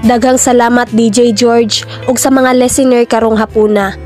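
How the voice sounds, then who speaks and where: A woman's voice: a little high, steady tone, normal speed, darkish tone, soft background music, natural voice. A woman with a Filipino accent playing a heartbroken young woman narrating her love story in a radio drama. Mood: resolved, grateful. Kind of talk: radio drama letter narration, slow and sentimental.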